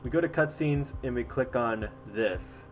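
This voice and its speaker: Casual man giving a tutorial over music. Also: mid-range voice. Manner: informal, halting step-by-step explaining. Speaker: tutorial host. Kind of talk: casual screen-recorded software tutorial.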